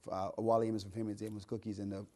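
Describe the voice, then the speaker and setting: A man from the Bahamas in his thirties speaks as a guest on a talk show. His voice sits a little low, and he tells his story in a relaxed, chatty way, restarting phrases as he searches for words. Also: very fast. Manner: chatty storyteller, repeats words while thinking. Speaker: guest, entrepreneur and author. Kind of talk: casual interview talk.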